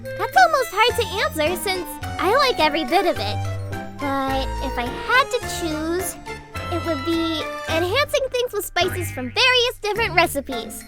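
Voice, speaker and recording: A woman's voice: extremely high pitch, very animated, measured pace, loud music behind the voice, heavily acted. A woman playing a perky, upbeat young herbalist girl in a fan audio drama, earnest and encouraging. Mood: bubbly, curious.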